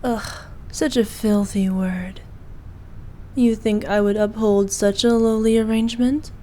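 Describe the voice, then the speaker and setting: A woman playing a regal, possessive evil queen who dotes on her knight in an intimate ASMR roleplay, speaking formally and softly, at times in breathy whispers. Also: medium pitch, fairly even intonation, a little hiss or hum, lightly acted. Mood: disdainful. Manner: regal, slow, archaic formal speech.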